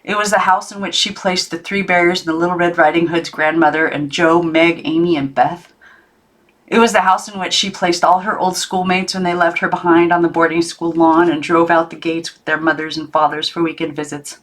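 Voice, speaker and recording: Lower-pitched woman reading a story aloud. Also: steady tone, fairly quick, a bit bright, low-quality recording. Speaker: audiobook reader. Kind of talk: expressive storybook narration.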